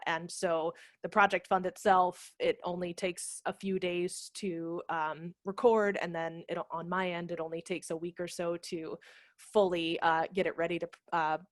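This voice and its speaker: A woman in her thirties explaining things in a casual, animated, conversational way as a guest on a video call, speaking into a headset. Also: fairly low pitch, no room sound, clean studio sound. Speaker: guest, theatre managing director. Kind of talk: casual explanatory talk in an online session. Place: brick-walled room at home, indoors. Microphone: headset microphone on a video call.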